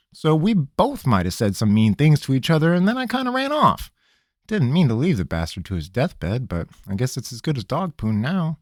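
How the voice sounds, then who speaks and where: A man voicing a nervous, rambling young farm-boy mage with a high, twangy, folksy voice. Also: no echo, studio quality. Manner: jittery, wheedling, folksy.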